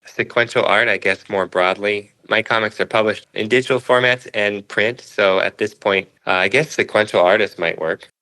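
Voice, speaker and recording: A man's voice: lowish voice, moderate pace, hardly any pauses, bright, forceful tone, rough recording. A man speaking as an interview guest, a cartoonist explaining his craft in a thoughtful, conversational way. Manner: thoughtful, explains through analogies.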